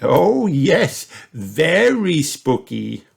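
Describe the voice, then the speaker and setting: A man's voice: on the high side, sing-song, very slow, vocal fry, breathy edge, voice pushed up, full character voice. A man voicing a jolly, playful old grandfather pig in a children's storybook, with an indulgent manner. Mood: amused, indulgent.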